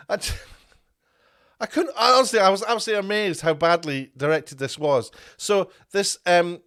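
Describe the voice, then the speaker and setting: A man with a fairly high-pitched voice gives a heated commentary rant. He talks indignantly, stumbling into restarts and repeating words as he goes. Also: moderate pace, a bit bright, smooth. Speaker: media commentator. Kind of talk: ranting commentary video.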